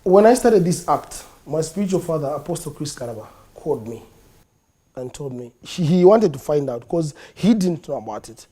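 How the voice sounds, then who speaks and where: Man, Zambian accent, comedian guest, animated, laughs a lot, lively pitch. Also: moderate pace. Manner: laughs often, animated, switches into local languages. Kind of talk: interview talk. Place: studio.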